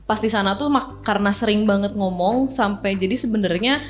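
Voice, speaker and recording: A woman's voice: mid-range voice, fairly quick, soft background music. A young woman with a Sundanese accent, a guest chatting casually and loosely on a radio show. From Bandung, West Java. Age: young adult. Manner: relaxed, chatty, uses lots of fillers. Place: radio studio.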